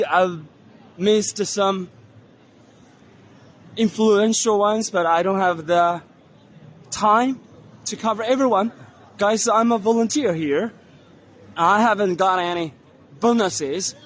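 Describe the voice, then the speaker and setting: A hoarse man with a fairly high voice gives a relaxed, halting tour of a trade fair hall. He talks slowly, filling gaps with "uh" as he points things out. A faint hum of the hall sits behind him. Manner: unhurried, halting, many fillers. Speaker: vlogger touring a trade fair. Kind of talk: casual walking-tour commentary. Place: indoor exhibition hall.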